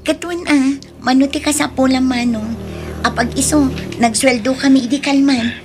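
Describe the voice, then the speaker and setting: A woman's voice: sits high, steady tone, normal speed, music in the background, natural voice. A woman playing a dutiful, caring young working daughter in a radio drama. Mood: sympathetic. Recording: noisy radio drama recording.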